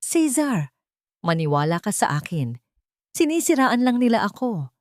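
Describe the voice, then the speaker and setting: A woman's voice: on the high side, very animated, unhurried, dry recording, clean studio sound, voice pushed up. A woman voicing a scheming, panicky young bride in a dramatic audio storybook. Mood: pleading. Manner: pleading, protesting.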